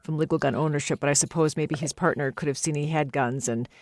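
A man's voice: a little high, steady tone, fast talker, dry recording, clean studio sound. An American man hosting a radio program in a conversational public radio interviewing style.

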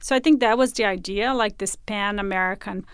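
A woman's voice: medium pitch, brisk, smooth, no room sound, studio quality. A woman being interviewed, explaining her creative work in a conversational way. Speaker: podcast creator, interview guest.

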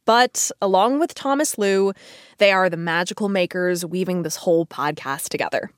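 A woman's voice: lowish voice, moderate pace, dry recording, studio quality. A woman hosting a podcast in a conversational style, moving between chat and introductions. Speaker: podcast host.

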